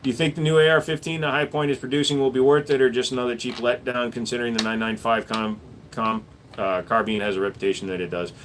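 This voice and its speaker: A man at an ordinary mid pitch hosts a relaxed livestream, reading viewer questions out and answering them offhandedly. A faint hiss of noise sits under his voice. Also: fast talker. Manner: relaxed, offhand, reads viewer messages aloud. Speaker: gun shop owner and livestream host.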